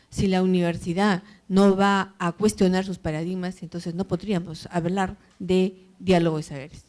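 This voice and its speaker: Soft-toned woman on a panel. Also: on the low side. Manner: hesitant, many fillers and tag questions. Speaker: panelist, university program organizer. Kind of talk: panel discussion answer.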